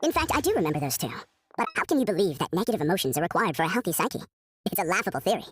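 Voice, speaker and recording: A man's voice: high-pitched, very animated, rapid-fire. An actor playing a deluded, falsely serene man in a game, speaking in a formal, condescending, theatrical way. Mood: amused, dismissive. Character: deluded male patient, falsely serene, loftily mocking. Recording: voice under loud game music.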